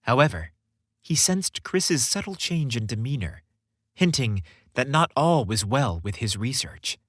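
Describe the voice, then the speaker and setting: A man narrating a scripted story in a polished, expressive storytelling manner. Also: mid-range voice, unhurried, no room sound, studio quality.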